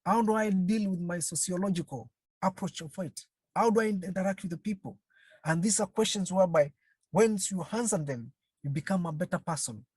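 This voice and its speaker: A counselling trainer gives an online webinar talk over a video call. The speaker talks slowly and haltingly, repeats phrases and keeps asking the audience rhetorical questions. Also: mid-range voice, darkish tone, slightly rough, no echo.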